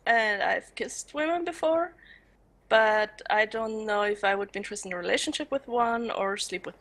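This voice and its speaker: A woman in her twenties who grew up in Switzerland, answering questions in casual chat, giggling often and pausing to search for words. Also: mid-range voice, a bit bright. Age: 20s. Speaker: student and streaming guest. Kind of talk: casual live-stream Q&A.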